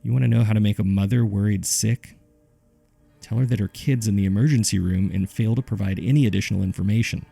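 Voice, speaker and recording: Male horror narrator, heavy vocal fry, dark mellow tone, scripted, measured delivery, subdued. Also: fairly low pitch, steady tone, soft background music. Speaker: host and narrator of a horror audio drama. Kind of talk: scripted narration, reading case files.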